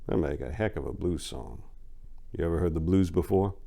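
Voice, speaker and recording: A laid-back crew leader from a Southern crime tale played by a male narrator. His voice is low and creaky, his talk folksy and persuasive, in an accent of the American South. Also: moderate pace, mellow. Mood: confiding, easygoing.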